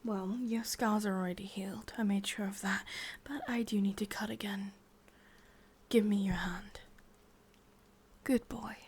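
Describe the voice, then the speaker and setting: A lovesick, possessive witch played by a woman murmurs in an English accent for an ASMR roleplay. Her voice is breathy and mostly whispered, at a medium pitch. She speaks very slowly and intimately, coaxing right up at the microphone. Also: fairly even intonation. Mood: possessive, soothing. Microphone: close microphone.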